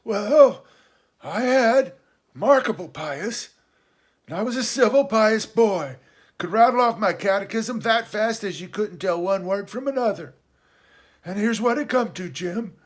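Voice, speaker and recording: A man voicing a half-crazed, sly and childish marooned sailor in an adventure storybook, hoarse, excitable and rambling in rustic seafaring dialect. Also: sits high, measured pace, pitched above the performer's own voice. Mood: pious, rambling. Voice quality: hoarse, awkward.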